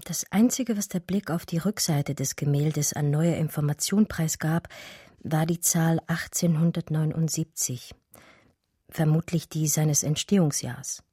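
An actress playing an educated art historian who recounts her love story in a radio drama, measured and eloquent. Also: low-pitched, mellow, breathy edge, dry recording, studio quality. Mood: reflective narrating. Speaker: narrator and lead character. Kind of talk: literary radio drama narration.

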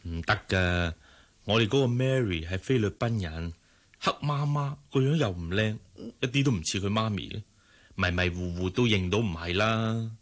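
A man's voice: lowish voice, normal speed, fairly bright tone, close to an everyday voice. A man with a Hong Kong Cantonese accent acting in a radio drama as a worried, exasperated father and boss who sighs as he talks. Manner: sighing, exasperated.

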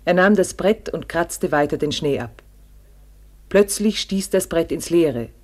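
Higher-pitched sober storyteller. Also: male voice, lively intonation. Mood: grave, tense. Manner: sober, measured, short sentences. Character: grave storyteller narrating a survival ordeal. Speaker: narrator. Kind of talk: scripted radio drama narration.

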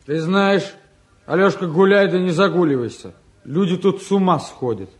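An actor playing a cheeky, excitable young man, the younger son of a family, in a 1950s Russian stage drama. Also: high-pitched, moderate pace, close to an everyday voice. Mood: scolding, worried.

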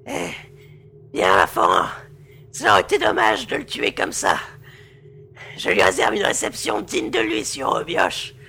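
A man voicing a gravelly gloating pirate chief. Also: mid-range voice, wildly swooping pitch, unhurried, pauses often, sharp tone, a little hiss or hum, exaggerated voice. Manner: gravelly, mocking, leering.

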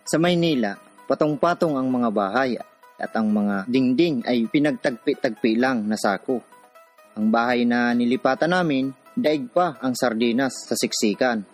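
A man's voice: mid-range voice, normal speed, faint music behind, lightly acted. An actor playing a poor man from a city slum, narrating his own hard life in a scripted radio drama. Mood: somber, reflective.

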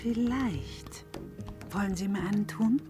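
An actress playing a pert, shrewd young lady's maid in a comic period radio play, saucy and sharp-tongued. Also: medium pitch, takes it slowly, loud music behind the voice, close to an everyday voice. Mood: teasing, coy.

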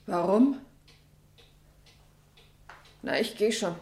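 An actress in a radio play playing a bitter, brisk wife who nags sharply. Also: female voice, medium pitch, warm tone, reverberant. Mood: irritable, sarcastic.

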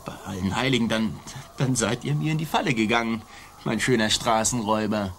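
An actor playing a boastful, swaggering Spanish colonial army sergeant in a theatrical, declamatory radio play style. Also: male voice, lowish voice, brisk, fairly bright tone, slight background noise. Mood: blustering, threatening.

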